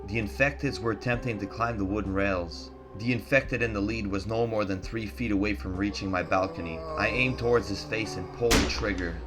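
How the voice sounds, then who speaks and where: A man's voice: on the low side, fairly even intonation, brisk, hardly any pauses, over music. A man reading a first-person horror story in a tense, dramatic, scripted narration style.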